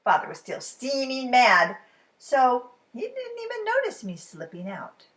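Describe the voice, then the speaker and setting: A woman with a high voice reads to children. Her delivery is expressive and very animated, taking its time with dramatic phrasing. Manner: expressive, dramatic pauses, drops to whispers. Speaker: storytime reader. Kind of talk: animated children's story narration.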